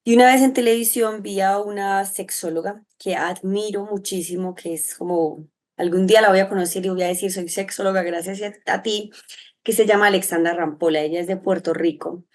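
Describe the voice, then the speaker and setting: A woman with a Medellín Colombian accent, answering in an interview in an expressive, reflective, rambling way. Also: mid-range voice, a little fast. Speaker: interview guest, sexologist and psychologist.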